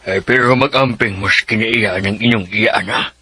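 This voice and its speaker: Radio drama: a teasing older Cebuano dad, husky, forceful, closely recorded. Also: male voice, fairly low pitch, normal speed, no room sound. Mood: cautioning. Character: teasing older father gossiping about his sister-in-law.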